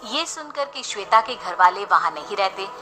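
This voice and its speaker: A woman's voice: medium pitch, expressive, moderate pace, bright, forceful tone, faint music behind. A woman narrating a scripted horror bedtime story as a storyteller.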